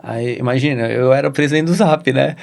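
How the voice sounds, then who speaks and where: A middle-aged Brazilian man with a Brazilian Portuguese accent, a guest in a casual interview, telling a story in halting sentences with frequent "né?" tags. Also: medium pitch, vocal fry, darkish tone. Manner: halting, with many restarts and "né?" tags. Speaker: interview guest, real estate executive.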